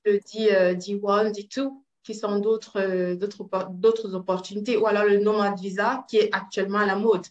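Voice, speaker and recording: A woman's voice: medium pitch, flat delivery, moderate pace, few pauses. A woman from Cameroon, a real estate agent, giving an online presentation with many fillers and restarts. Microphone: video call. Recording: roomy, dull video-call audio.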